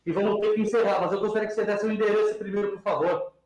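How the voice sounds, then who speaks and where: Hurried male moderator, high voice, echoey off-mic room with faint hiss. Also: fairly even intonation, darkish tone. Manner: hurried, polite. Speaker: forum moderator. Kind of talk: moderating a panel.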